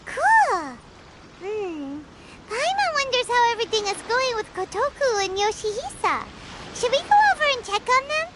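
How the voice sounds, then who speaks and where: A woman's voice: very high voice, big pitch swings, normal speed, very noisy. A woman voicing a small, cheerful, feisty childlike fairy companion in an anime video game. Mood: curious, concerned. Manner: refers to self in third person, exclaiming. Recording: voice over game music.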